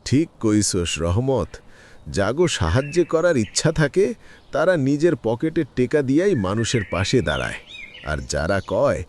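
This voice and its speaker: A man's voice: mid-range voice, darkish tone. Here is a man acting a weary old village man from a cartoon drama. His Bangladeshi rural Bengali rises and falls widely as he grumbles plaintively. A faint noise sits behind the voice. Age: older. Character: weary, aggrieved elderly village man lamenting hard times. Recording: faint background noise with clicks.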